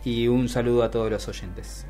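Argentine radio co-host, medium-pitched voice. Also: fairly even intonation, normal speed, dark tone. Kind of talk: casual on-air greetings. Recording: music bed under the voice. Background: music.

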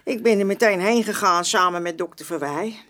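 A woman's voice: mid-range voice, fairly quick, fairly bright tone, lightly acted. An actress playing a feisty, proud old woman in a radio drama, blunt and colloquial. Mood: upset, confiding. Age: older. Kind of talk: Dutch radio drama acting.

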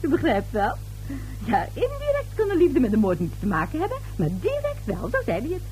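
A woman's voice: high voice, wildly swooping pitch, a little fast, darkish tone, soft background music, low-quality recording. An actress playing a tipsy, gossipy neighbour lady in a radio play, chatty, coy and giggly. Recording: old radio drama recording.

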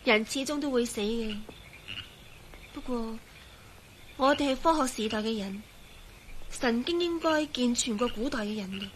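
An actress playing a composed, brooding, resigned young woman in a Cantonese radio drama, with a Hong Kong accent and measured delivery. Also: fairly high pitch, slight background noise, lightly acted. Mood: grim, composed. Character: eerily composed, resigned young woman turned invisible skeleton.

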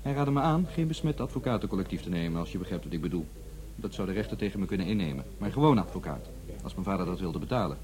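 An actor playing a defiant, aloof young left-wing student in a Netherlands Dutch radio play. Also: male voice, sits low, very animated, quick pace, hardly any pauses, crowd noise. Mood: insistent. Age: young adult.

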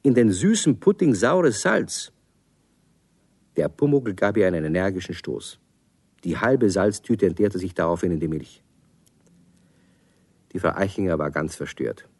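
A male narrator with a lowish voice tells a children's story. His delivery is scripted and expressive, with plenty of melody, recorded close and dry. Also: a little slow, long pauses, no room sound. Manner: expressive, clearly phrased.

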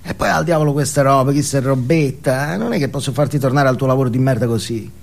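A man's voice: medium pitch, fast, vocal fry, voice pushed down. A man playing a volatile, boastful, foul-mouthed drug-using outlaw in a theatrical stage monologue, badgering his listener. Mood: conspiratorial, quiet. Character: volatile swaggering male drug-using outlaw, manic and menacing.

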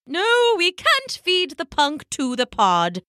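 Woman voicing a fussy, high-pitched old lady penguin. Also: huge pitch swings, slow, bright, forceful tone, no echo, clean studio sound, full character voice. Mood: firm. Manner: exclamatory, alliterative, fussy. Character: elderly female penguin, fussy, indignant, plummy alliterative talker.

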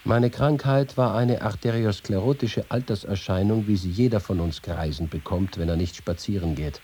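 A man's voice: on the low side, moderate pace, warm tone, a little hiss or hum, close to an everyday voice. An actor playing an aging, wry and spirited roving reporter, reading his writings expressively in a radio feature. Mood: wry, rueful. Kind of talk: acted reading of letters and reportage.

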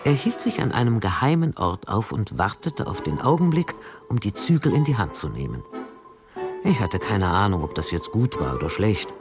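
A woman's voice: rumbling low, big pitch swings, normal speed, over music. An actress playing an anxious, guarded female secret agent in a radio drama, acting and narrating in the first person. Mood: anxious recollection.

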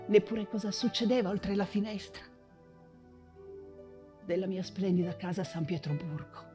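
An actress playing an imprisoned, nostalgic Russian exile writer in an intense, halting theatrical monologue, repeating words for emphasis. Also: female voice, mid-range voice, mellow, close to an everyday voice. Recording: music under the voice. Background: music.

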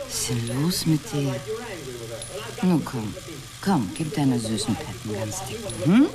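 Very deep-voiced, coaxing, easygoing woman. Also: very animated, measured pace, a crowd in the background, full character voice. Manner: teasing, offhand, cajoling. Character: tipsy, easygoing, coaxing woman on a casual tryst. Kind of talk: German radio play acting.

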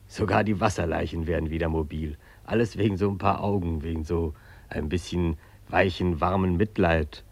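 Deep, husky, sardonic otherworldly onlooker. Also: male voice, a little mellow, no room sound. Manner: dry, mocking, musing. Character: sardonic otherworldly onlooker musing on strange two-legged humans. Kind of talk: German radio play acting.

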